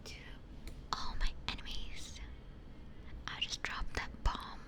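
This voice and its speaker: A woman whispering breathily in a playful, rambling way, chatting casually as an ASMR streamer close to a binaural microphone. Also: slow pace. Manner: playful, rambling, repeats phrases. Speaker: ASMR livestreamer chatting with viewers.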